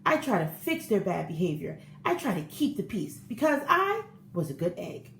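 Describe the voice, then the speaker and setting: A woman voicing an earnest, eager-to-please good egg in an expressive, theatrical read-aloud of a children's picture book. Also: medium pitch, moderate pace, creaky, pitched below the performer's own voice. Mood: earnest, strained. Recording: roomy echo with faint background noise.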